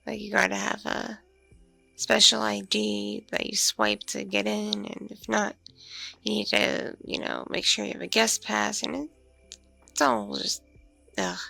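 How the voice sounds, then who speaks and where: A woman playing a mature, flirtatious, spacey female vampire in an ASMR roleplay, speaking softly and hesitantly, as if in a one-sided intimate conversation. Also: medium pitch, animated, gravelly, no room sound, faint music behind, exaggerated voice. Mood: relaxed, self-deprecating. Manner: hesitant, murmuring, lingering pauses with ums and mms. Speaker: roleplay voice performer.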